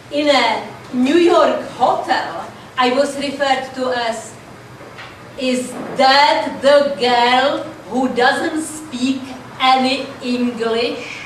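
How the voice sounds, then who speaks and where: An older Czech-accented woman delivers dry stand-up stories in an unhurried, deliberate way. Her high voice rings through a very echoey room, caught from a distance. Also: slight background noise. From Czech Republic. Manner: deliberate, dry storytelling about language mix-ups. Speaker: stand-up comedian. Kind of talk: stand-up routine. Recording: echoey room, mic from afar.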